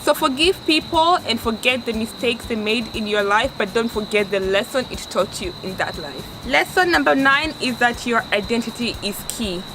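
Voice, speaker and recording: Young Tanzanian-accented woman in her twenties, earnest vlog advice, voice a little high, steady noise underneath. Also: normal speed, few pauses. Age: 20s. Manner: earnest, restarts often, stresses words for emphasis. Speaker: vlogger.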